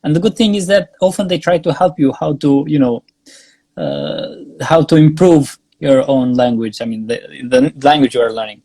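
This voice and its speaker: A young Albanian man speaking English with an Albanian accent as a guest in a casual interview, pausing often with fillers and restarts. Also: medium pitch, big pitch swings, dark tone, dry recording. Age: young adult. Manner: halting, many fillers and restarts. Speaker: guest, graduate student.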